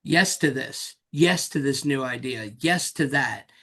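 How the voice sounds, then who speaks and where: A man co-hosting a casual podcast chat, animated and self-mocking, full of quick asides. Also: medium pitch. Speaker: podcast co-host.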